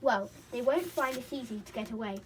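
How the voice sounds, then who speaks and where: A woman's voice: on the high side, brisk, distant mic, a little hiss or hum, lightly acted. A woman reading aloud and voicing a small, nervous, clever male pixie in a children's storybook. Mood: knowing.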